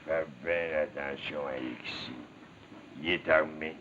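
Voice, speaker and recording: A man's voice: very deep voice, fairly even intonation, unhurried, a bit bright. A man acting as a middle-aged village mayor in a 1950s television drama, sly and folksy, with a Quebec French accent. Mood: sly, goading. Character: sly village mayor, needling and falsely modest. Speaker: actor playing a village mayor. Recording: muffled, hissy 1950s television soundtrack.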